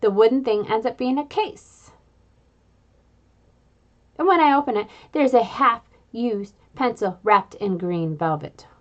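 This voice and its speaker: A woman reading a children's book aloud in an expressive, playful way, adding little sound effects. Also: on the high side, unhurried, pauses often, muffled recording. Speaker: reader.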